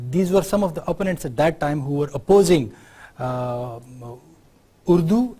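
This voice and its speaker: A middle-aged man with a Pakistani accent giving an unscripted university lecture, often pausing on fillers and checking in with "right?". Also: a little high, dark tone. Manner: unscripted explaining, many fillers, tag questions like "right?".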